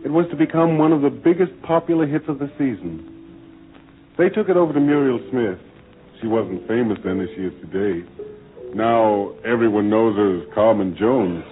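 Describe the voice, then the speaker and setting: An American man delivering formal, deliberate, oratorical narration in 1940s radio style, on a tinny, noisy old recording. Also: medium pitch, lively intonation, faint music behind.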